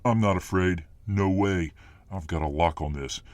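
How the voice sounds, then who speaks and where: A man playing a gruff, protective, muscular fireman boyfriend full of tough-guy bluster in an ASMR roleplay. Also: low voice, normal speed, darkish tone, dry recording, close to an everyday voice. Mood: defiant.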